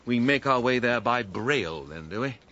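An actor with an English accent playing a mild, dry-witted gentleman sidekick in a radio comedy drama. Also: medium pitch, expressive, moderate pace, muffled recording, slight background noise. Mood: hesitant, wry. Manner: hesitant, drily ironic.

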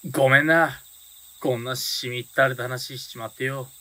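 Man acting bright-voiced teenage gang boss, earnest. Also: medium pitch, fairly even intonation, moderate pace, lightly acted. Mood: earnest, resolved. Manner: rough delinquent slang, sincere. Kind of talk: Japanese radio drama acting.

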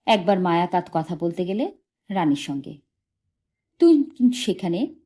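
A woman's voice: lowish voice, moderate pace, a little mellow. A woman narrating a short story as an audiobook reader, expressively and from a script.

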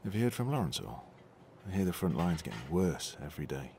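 A man's voice: low-pitched, big pitch swings, fast, warm tone, slight background noise. A man playing a grumbling, gloomy hospital colleague in an audio drama. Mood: gloomy, conversational.

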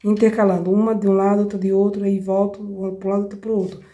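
A hoarse Brazilian woman with a cold hosts a craft tutorial. She chats with her viewers while she demonstrates each step, often checking that they follow. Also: mid-range voice, little pitch movement, quick pace, mellow. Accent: Brazilian Portuguese. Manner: chatty, addresses viewers directly with frequent checks. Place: home craft table, indoors.

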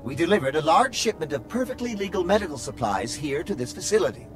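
Fussy, servile protocol droid for a game: very animated delivery, ornate politeness, music underneath. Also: male voice, a little high, quick pace. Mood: prim, formal. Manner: prim, wordy, over-polite. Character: fussy, fretful male translator droid, servile and formal. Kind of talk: theatrical video game acting.

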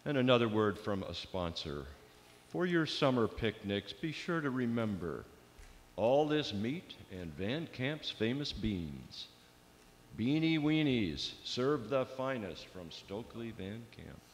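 A man's voice: mid-range voice, sing-song, slow pace, a little hiss or hum. A man hosting in the style of an old-time radio announcer. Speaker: radio show host. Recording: reverberant church hall.

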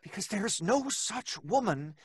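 An actor playing an anxious, agitated husband in an old-time radio drama, urgent and halting. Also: male voice, high voice, big pitch swings, slightly rough, no echo, exaggerated voice. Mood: urgent, insistent. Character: anxious, agitated husband searching for his missing wife. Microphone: studio microphone in a padded booth.

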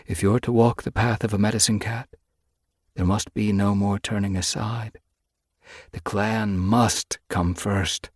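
A man voicing a wise old female cat in an audiobook story, stern but caring, with measured, admonishing speech. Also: on the low side, level tone, no echo, clean studio sound, voice pushed up. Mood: stern, resolute.

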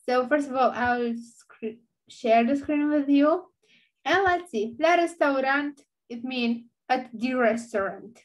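A woman's voice: sits high, takes it slowly, rough recording. A young woman with a Romanian accent, a native speaker teaching an online language lesson into her laptop webcam, patiently repeating words for learners.

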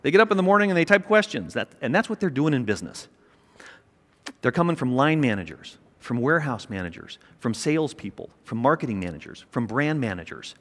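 A middle-aged man giving an energetic, emphatic keynote speech on a large conference stage, with a small mic. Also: mid-range voice, a little fast. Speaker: keynote speaker, company chairman. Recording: long reverb of a large hall.

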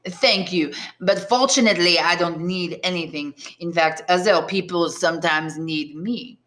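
A woman's voice: fairly low pitch, normal speed, fairly bright tone, lightly acted. A woman voicing a smug, grinning young male tailor in an audiobook, breezy and self-satisfied. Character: smug grinning tailor neighbour, man of twenty-five. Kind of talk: audiobook character dialogue.

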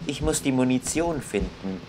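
A man's voice: medium pitch, animated, normal speed, over music. A young man commenting casually on a game he plays, musing aloud and reading its text. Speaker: gaming video host.

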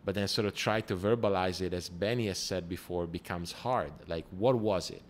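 Very deep-voiced male interviewer. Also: moderate pace. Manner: prompts with short acknowledgments, long probing questions. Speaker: podcast host, interviewer. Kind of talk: casual interview conversation. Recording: remote call recording with faint noise.

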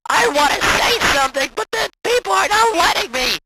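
A woman's voice: extremely high pitch, animated, a little fast, heavy background noise. A woman playing an irritable, explosive male cartoon hedgehog co-host in an amateur audio drama. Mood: furious, ranting. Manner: irritable, explosive, shouts when thwarted. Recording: loud music behind the voice.

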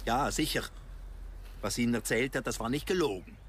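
Actor as a gruff, reproachful older man. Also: medium pitch, brisk, slight background noise, close to an everyday voice. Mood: brusque, reproachful. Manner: brusque, blunt. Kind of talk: radio drama dialogue.

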